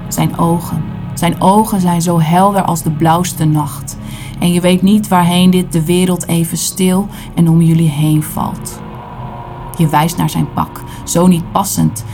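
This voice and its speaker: A woman performing a literary audio play, narrating in short, deliberate dramatic phrases. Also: fairly low pitch. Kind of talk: literary spoken-word narration in second person.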